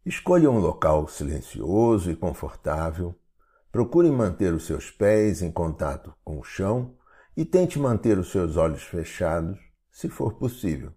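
Male meditation narrator, Brazilian, husky and unhurried, formal instructive read. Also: sits low, very animated, warm tone. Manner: measured, instructive, formal wording. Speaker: meditation guide. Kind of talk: scripted guided meditation narration.

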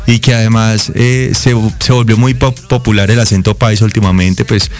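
A man's voice: on the low side, little pitch movement, normal speed, faint music behind. A man from Colombia with a Colombian accent, a guest in a casual interview who explains things at length, listing examples.

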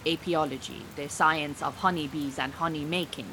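An actress playing a proud, disillusioned Iranian refugee beekeeper in an audio drama, speaking in formal, dry, exacting phrases. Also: female voice, sits low, steady tone, moderate pace, sharp tone, a little hiss or hum, poor audio. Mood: dry, certain. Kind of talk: naturalistic audio drama acting.

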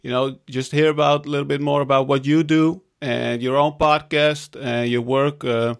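Male podcast host, from iran via the netherlands, gravelly fry, friendly, hesitant. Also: mid-range voice, level tone, moderate pace. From Iran, raised in the Netherlands. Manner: friendly, laughing, hesitant with fillers. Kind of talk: casual interview chat.

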